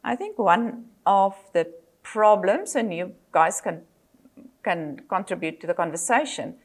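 Older South African woman, Afrikaans native; measured lecturer, fillers and restarts, slightly low voice. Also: creaky. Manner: measured, with frequent fillers and self-corrections. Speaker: psychologist and lecturer. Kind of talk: presentation lecture.

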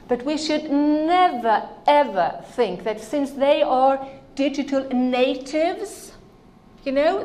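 A woman's voice: a little high, darkish tone, echoey room, a little hiss or hum. A woman from Norway, a native Norwegian speaker, giving an earnest, emphatic conference talk on ministry to children.

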